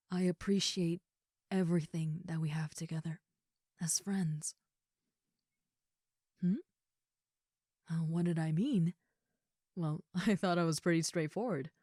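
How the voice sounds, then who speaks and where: A gentle giant of an ogre girl voiced by a woman, speaking in a slightly low voice, very close and dry. She is tender and sincere, pausing as she searches for words and often dropping into breathy softness, as in a comfort roleplay. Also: no echo. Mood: tender, hesitant. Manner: soft, breathy asides, halting, tender, sincere. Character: gentle, affectionate giant female ogre roommate, shyly confessing. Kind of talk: intimate comfort roleplay audio.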